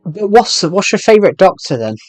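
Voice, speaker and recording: A man's voice: sits high, sing-song, brisk, no room sound, faint music behind. A young man in his twenties with a thick British accent, chatting casually on a game stream and rambling as he answers viewers' questions. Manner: rambling, restarts sentences while answering chat questions. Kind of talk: casual live stream chat.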